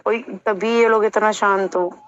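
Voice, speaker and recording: A woman's voice: medium pitch, steady tone, unhurried. A woman in a casual private phone conversation, blunt and insistent, often pressing with questions. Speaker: caller. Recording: dull lo-fi recorded phone call.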